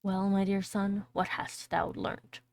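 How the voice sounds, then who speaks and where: A woman's voice: mid-range voice, flat delivery, slow, a little mellow, recorded from a distance. A woman voicing an old tailor father in a storybook, questioning and critical, with stern, archaic phrasing. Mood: welcoming, inquiring.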